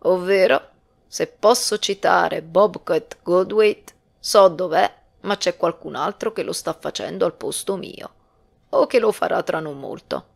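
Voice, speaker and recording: A woman's voice: fairly low pitch, a little slow, vocal fry. A woman voicing a hard-drinking, resigned, sardonic father in an audiobook. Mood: wry resignation. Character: hard-drinking, weary, wry father of a boy.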